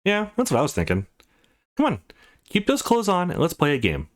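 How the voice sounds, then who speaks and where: A man's voice: on the high side, wildly swooping pitch, a little fast, pitched above the performer's own voice. A man reading the part of an easygoing, teasing gamer friend in a visual novel, playful and coaxing. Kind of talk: visual novel dialogue read aloud.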